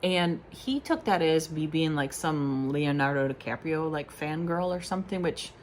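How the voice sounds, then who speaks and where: A woman in her forties talks to her camera at home in a low, easygoing voice. She rambles through personal questions, stopping often for ums and short laughs. A faint hiss sits under the recording. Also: animated, moderate pace. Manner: chatty, frequent ums and little laughs. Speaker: beauty vlogger. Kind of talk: casual chat answering questions.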